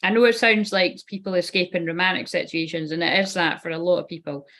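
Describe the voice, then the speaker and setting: A woman's voice: fairly low pitch, fairly even intonation, quick pace, a bit bright. A woman giving a casual tarot card reading. She rambles loosely and swears offhandedly.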